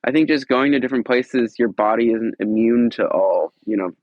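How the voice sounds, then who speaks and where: A man's voice: mid-range voice, moderate pace, no room sound, highs cut off. A congested, stuffed-up man talking easygoingly as a guest on a podcast. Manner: easygoing, relaxed. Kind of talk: casual chat.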